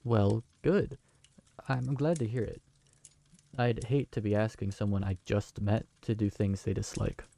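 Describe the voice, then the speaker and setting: A man's voice: lowish voice, fairly even intonation, measured pace, vocal fry, dark tone. A man playing a young man who just inherited a magical tavern, speaking in a polite, earnest, slightly flustered way. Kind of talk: fantasy audio drama acting.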